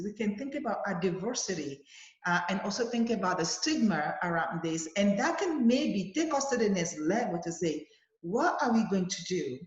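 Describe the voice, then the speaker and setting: A woman advocate answering interview questions earnestly, with many fillers and restarts, over a video call. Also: medium pitch. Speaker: guest, advocacy leader. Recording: roomy, dull lo-fi sound.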